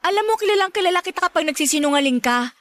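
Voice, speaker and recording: High voiced young filipina crushing on someone, coy and sweet, radio drama. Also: female voice, animated, rapid-fire, a bit bright, no room sound. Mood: playfully probing.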